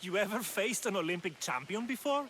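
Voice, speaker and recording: An actor playing a brisk official briefing a fighter in a video game. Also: male voice, sits high, big pitch swings, a bit bright, a little hiss or hum. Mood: challenging.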